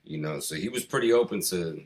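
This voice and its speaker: A man's voice: low voice, expressive, a little fast, darkish tone. A middle-aged American man from the East Coast, a hip hop artist being interviewed, talking in a loose, slangy, rambling way. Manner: slangy, rambling, peppered with "you know" and "man". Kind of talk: casual conversation.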